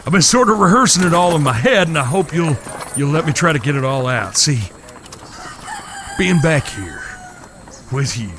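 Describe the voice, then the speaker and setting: A man playing an earnest, courteous cowboy suitor in a western audio drama, with halting, old-fashioned, courtly phrasing. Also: on the high side, animated, noisy background, natural voice. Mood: nervous, earnest.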